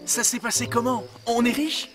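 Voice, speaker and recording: A man playing a naive, anxious poor young man with an excitable, fretful manner in a French cartoon dub. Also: extremely high pitch, normal speed, over music. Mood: eager.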